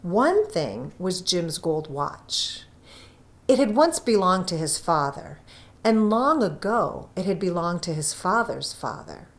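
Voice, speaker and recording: Slow, expressive woman reading a story. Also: fairly low pitch. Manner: deliberate, expressive storytelling with pauses. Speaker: story reader, narrator. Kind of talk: scripted narration, literary short story reading.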